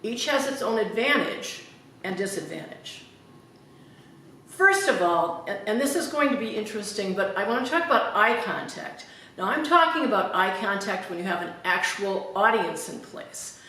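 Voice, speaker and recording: A woman's voice: mid-range voice, lively intonation, pushed and bright. Woman teaching from a podium in an echoey hall. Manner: careful, deliberate, clearly enunciated. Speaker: public speaking teacher. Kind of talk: instructional demonstration at a podium. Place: church hall, speaking at a podium. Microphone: camera at a distance. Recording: very echoey hall from far off, faint noise.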